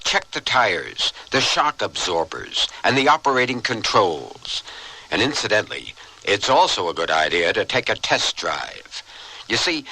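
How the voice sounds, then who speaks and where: A man's voice: lowish voice, bright, forceful tone, breathy edge, no echo, rough recording. A man reading a scripted radio public service announcement, as an advisory announcer.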